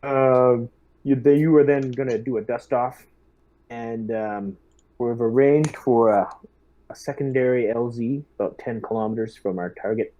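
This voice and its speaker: Mellow man giving a halting briefing. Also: medium pitch, muffled recording. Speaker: player acting as squad lieutenant. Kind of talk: tabletop role-playing session, giving mission briefing.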